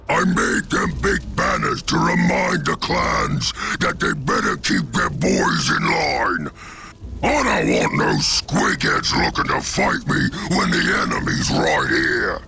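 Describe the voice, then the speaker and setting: Gravel-throated Cockney orc warlord. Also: male voice, medium pitch, huge pitch swings, slow, background noise, heavily acted. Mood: commanding, irritable. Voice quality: gravelly, guttural growl. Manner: coarse, bullying, rabble-rousing. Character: brutish bellowing orc warlord, huge and belligerent. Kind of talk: video game character acting.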